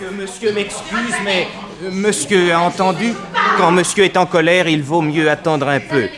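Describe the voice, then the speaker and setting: Actor as a deferential, sly French-radio manservant, slightly high. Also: male voice, steady tone, normal speed, a loud crowd, close to an everyday voice. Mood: courteous. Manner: obsequious, formal third-person address. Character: deferential, sly manservant addressing his master formally. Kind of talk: 1960s French radio drama acting.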